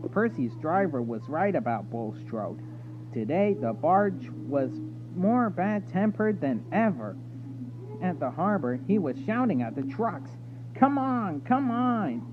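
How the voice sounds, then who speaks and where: A man narrates a children's storybook in a highish, very animated voice. The recording is thin and phone-like, with loud noise and hum behind him. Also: measured pace. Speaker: story reader.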